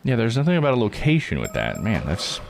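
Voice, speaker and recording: A man streaming a visual novel, thinking out loud in casual live commentary as he reads and puzzles. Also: medium pitch, wildly swooping pitch, quick pace, gravelly, slight background noise. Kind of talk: casual live game commentary, reading text aloud.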